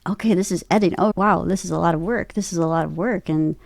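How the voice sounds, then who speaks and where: Low-pitched woman chatting as a podcast guest. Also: fast talker, gravelly, darkish tone, no room sound, clean studio sound. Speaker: interview guest, voice actor and podcaster. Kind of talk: casual chat.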